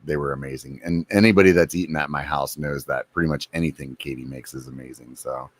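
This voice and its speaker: A man's voice: a bass voice, quick pace, dry recording. A man in his forties, hosting a casual podcast chat, joking and acting out his own food cravings. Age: 40s. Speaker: podcast host.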